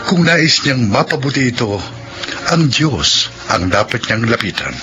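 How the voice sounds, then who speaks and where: A man announcing the moral and the credits of a radio drama in a measured, formal way. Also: mid-range voice, sing-song. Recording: radio broadcast with music. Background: music.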